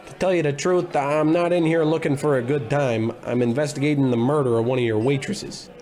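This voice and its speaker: An actor playing a bumbling, self-important, grumbling private investigator in a comedy in old radio detective style. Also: male voice, medium pitch, fairly even intonation, a little fast, a little mellow, close to an everyday voice. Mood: grumbling, hungry. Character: bumbling, blustery, gluttonous male private investigator.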